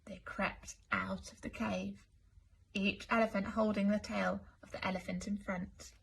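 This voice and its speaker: A woman storyteller reading a children's picture book aloud in an expressive, deliberate way. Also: mid-range voice, gravelly, husky, low-quality recording. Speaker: school storyteller.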